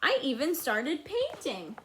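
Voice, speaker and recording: A woman voicing a dutiful, overburdened good egg in a children's storybook, with earnest, dramatic, drawn-out emphasis. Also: high voice, wildly swooping pitch, slight background noise, exaggerated voice. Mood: calm.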